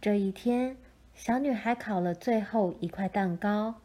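A woman narrating a children's picture book in a gentle, measured storytelling style. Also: mid-range voice.

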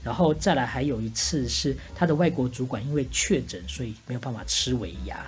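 A woman's voice: a bass voice, brisk, faint music behind. A woman with a Taiwanese Mandarin accent co-hosting a podcast, telling comic stories and giving mock-earnest advice in casual chat. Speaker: podcast co-host playing a bartender.

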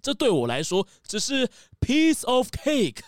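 A man's voice: very high voice, wildly swooping pitch, measured pace, dry recording, studio quality, exaggerated voice. A man playing a boastful, jovial, pompous tycoon in a children's audio drama. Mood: bragging. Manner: pompous, showy, chuckling. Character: boastful, jovial rich tycoon who owns a castle.

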